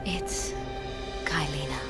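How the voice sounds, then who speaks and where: A woman's voice: low-pitched, slow pace, gravelly, heavily acted. A woman playing a mysterious, regal empress who defies fate in a video game, with formal, solemn, dramatic delivery. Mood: solemn, guarded. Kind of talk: video game cutscene acting. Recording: loud music behind. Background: loud game soundtrack music.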